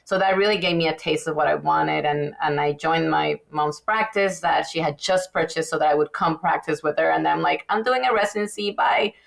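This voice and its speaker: Low creaky-voiced woman from Venezuela. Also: fairly quick, hardly any pauses. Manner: chatty, many fillers and restarts, animated. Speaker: guest dentist. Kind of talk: casual podcast interview.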